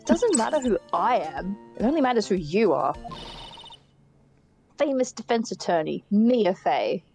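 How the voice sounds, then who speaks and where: A woman's voice: medium pitch, sing-song, unhurried, a little mellow. A woman voicing an impatient, brusque female client in an amateur visual novel dub. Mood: impatient, demanding. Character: impatient, brusque woman seeking a famous lawyer. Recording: game music behind the voice.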